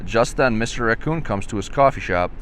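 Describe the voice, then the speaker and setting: A man's voice: mid-range voice, expressive, brisk, a bit bright, slight background noise. A man reading a children's storybook aloud, stumbling and repeating a word now and then.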